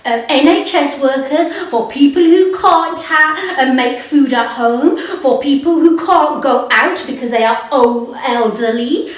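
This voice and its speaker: A woman's voice: sits high, measured pace, distant mic. A woman playing a patient, encouraging lady teacher in a children's puppet show, explaining things simply. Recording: very echoey, roomy sound.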